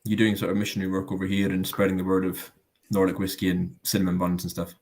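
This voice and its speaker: A man with a low, deep voice hosts a live tasting online. He talks in an easygoing, self-mocking way and fills his pauses with plenty of ums and uhs. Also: monotone, a little mellow. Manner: self-deprecating, hesitant with many ums. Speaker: host of a live whisky tasting. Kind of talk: casual hosting chat.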